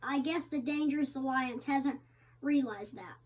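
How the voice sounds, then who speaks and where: A woman reading the interviewer's part of a magazine interview aloud, somewhat haltingly with stumbles. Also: sits high. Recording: distant microphone.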